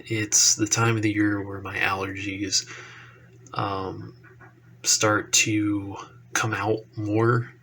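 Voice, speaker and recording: A man's voice: fairly low pitch, takes it slowly, slight background noise. A young man who says he is congested from allergies, talking casually and rambling on his own podcast, with lots of ums and swearing. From Texas. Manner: relaxed rambling with frequent ums and profanity. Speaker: action figure YouTuber. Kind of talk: casual solo podcast talk.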